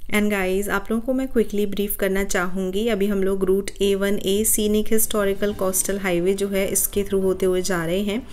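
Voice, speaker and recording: An Indian woman narrating a travel vlog in a voice-over, explaining the route calmly. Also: mid-range voice, normal speed, hardly any pauses. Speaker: vlogger. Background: soft music.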